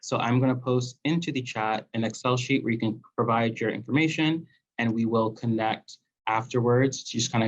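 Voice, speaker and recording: A young man hosting a virtual panel as program coordinator, relaxed and using many fillers, over a video call. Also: mid-range voice. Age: young adult. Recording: dull lo-fi call audio.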